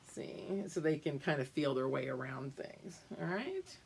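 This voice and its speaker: A woman's voice: sits low, lively intonation, a little slow, gravelly, darkish tone, breathy edge. An older woman teaching young children, explaining things simply and checking that they follow along. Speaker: kindergarten or preschool teacher. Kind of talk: read-aloud lesson for young children.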